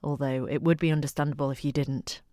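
A woman with a Manx accent and a deep voice for a woman, presenting a radio arts show with playful scripted links. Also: steady tone, quick pace, mellow, no room sound, studio quality.

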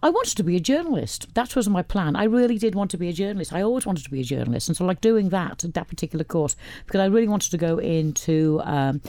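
Older woman from Wales, radio guest, mellow and dry-sounding, chatty and rambling. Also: lowish voice, lively intonation, fast, hardly any pauses, no echo. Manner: chatty, rambling with many restarts. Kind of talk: casual interview chat.

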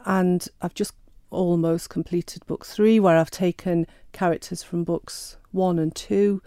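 Author guest, woman, mellow lowish voice, chatty and hesitant, dry close sound. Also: fairly even intonation, a little slow, no echo. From Sheffield, England. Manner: chatty, hesitant with many ums and restarts. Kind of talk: radio interview.

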